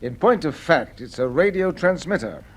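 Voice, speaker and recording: A man's voice: fairly high pitch, expressive, normal speed. A man playing a methodical colonel in a 1950s radio drama, explaining things politely with small hesitations. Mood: explanatory. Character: methodical military colonel explaining technical gadgets.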